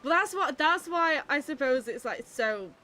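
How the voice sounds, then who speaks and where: A woman's voice: high voice, animated, normal speed, bright, forceful tone, soft background music. A woman with a British accent giving casual, chatty, wry commentary while playing a game. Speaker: psychologist streaming a game.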